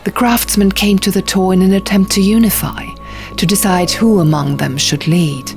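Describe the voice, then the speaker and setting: A woman voicing an earnest young man who recounts dark ancient lore in an audiobook, measured and theatrical, pausing for effect. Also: mid-range voice, close to an everyday voice. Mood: solemn storytelling. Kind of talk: audiobook character dialogue. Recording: music bed under the reading.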